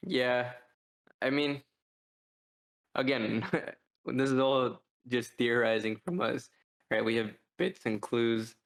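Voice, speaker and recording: A man's voice: medium pitch, slow, vocal fry, pauses often. A man co-hosting a podcast, talking casually in a rambling, hesitant way and often circling back on his own phrasing. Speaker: podcast co-host reviewing books. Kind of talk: casual discussion.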